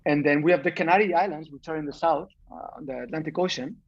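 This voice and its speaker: Muffled higher-pitched man with Catalan accent. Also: fast. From Barcelona, Spain. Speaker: podcast guest. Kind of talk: casual chat. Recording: muffled, dull lo-fi sound.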